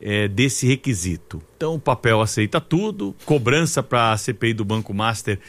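A Brazilian man anchoring radio news, reading a breaking report in a measured, deliberate way. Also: medium pitch, sing-song, a bit bright. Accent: Brazilian Portuguese.

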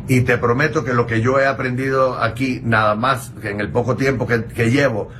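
A man's voice: medium pitch, fairly even intonation, moderate pace, fairly bright tone, slight background noise. A man with a Puerto Rican accent, a guest chatting casually and rambling, with many fillers and restarts. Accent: Puerto Rican Spanish. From Puerto Rico. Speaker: interview guest. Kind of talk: casual chat.

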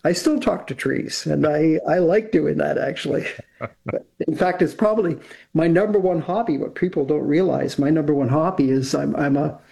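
Gravelly older man on a video call. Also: fairly high pitch, moderate pace, dark tone. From Canada. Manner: rambling and chatty, with frequent restarts. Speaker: guest, composer and TV producer. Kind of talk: casual interview talk.

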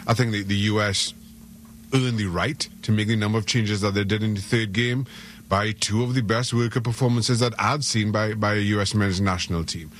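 A man with a fairly low voice gives soccer analysis on a radio show. He is middle-aged and from Trinidad and Tobago. He speaks earnestly and stumbles over repeated words. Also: steady tone, moderate pace, a bit bright, a little hiss or hum. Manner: earnest, frequent stammered repeats.

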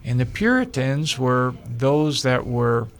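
An older man from Pennsylvania, a local candidate, telling his story slowly in an interview with pauses and fillers. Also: medium pitch, big pitch swings. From Pennsylvania, USA. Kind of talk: interview answers.

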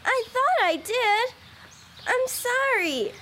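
Lady doing a sweet earnest church girl, really high bright voice, kids radio drama w music under. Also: lively intonation, slow, a little hiss or hum, full character voice. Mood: worried, sorry. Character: earnest, devout young girl, eager and kind.

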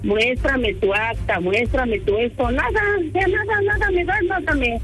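A woman's voice: high voice, a little slow, a bit bright, soft background music. Insistent, scolding Mexican woman. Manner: insistent, scolding, repeating questions. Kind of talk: confrontational phone questioning.

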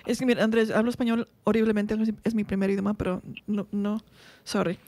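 A woman's voice: medium pitch, steady tone, fairly quick, a little mellow. A woman from Mexico co-hosting a radio chat, chatty and self-deprecating, laughing at herself. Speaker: radio co-host. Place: radio studio.

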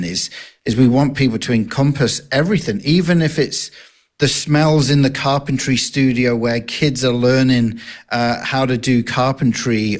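Earnest accented man on radio. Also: mid-range voice. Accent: accent not native to the area. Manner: earnest, with frequent fillers and restarts. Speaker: radio guest, ministry director. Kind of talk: casual radio interview.

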